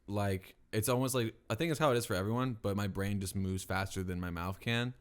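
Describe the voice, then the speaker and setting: A man's voice: fairly low pitch, fairly quick. A young man co-hosting a podcast in casual chat, teasing, stuttering and restarting words. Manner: teasing, laughs often. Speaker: podcast co-host.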